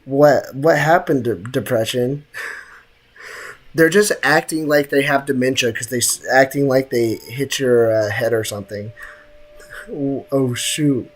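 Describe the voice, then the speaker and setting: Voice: mid-range voice, measured pace. A game streamer chatting casually with live viewers while reacting to a game, teasing and slangy. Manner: teasing, slangy banter with chat.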